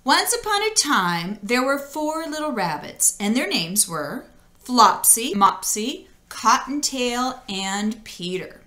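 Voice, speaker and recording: A woman reading a children's story aloud expressively, with deliberate phrasing and dramatic emphasis, in an echoey room. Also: a little high, big pitch swings, pushed and bright. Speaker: storybook reader. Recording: echoey, roomy sound.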